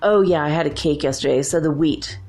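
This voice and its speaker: A woman's voice: low-pitched, brisk, darkish tone, soft background music. Congested woman chatting casually. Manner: rambling, chatty, conversational. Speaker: podcast host. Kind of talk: casual solo podcast chat.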